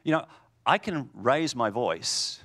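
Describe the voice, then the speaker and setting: A man preaching a sermon in a booming voice, swinging from loud declarations to hushed lines. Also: big pitch swings, takes it slowly.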